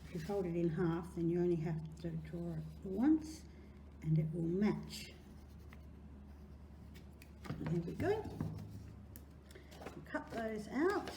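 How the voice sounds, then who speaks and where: Casting: Australian woman, children's craft presenter, singsong and mellow, very varied pitch. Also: lively intonation, takes it slowly, pauses often. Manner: chatty, singsong, encouraging children's-presenter delivery. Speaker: library storytime presenter. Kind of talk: children's craft demonstration, talking through steps. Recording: echoey room, faint background noise.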